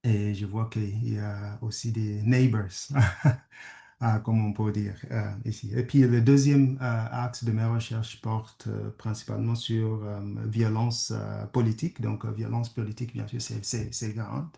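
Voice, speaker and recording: Halting, gravelly man, French. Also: lowish voice, level tone, mellow. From Kenya. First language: English. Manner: halting, many fillers and restarts. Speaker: guest speaker, conflict studies professor. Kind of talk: hesitant webinar presentation. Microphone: video call. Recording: echoey room.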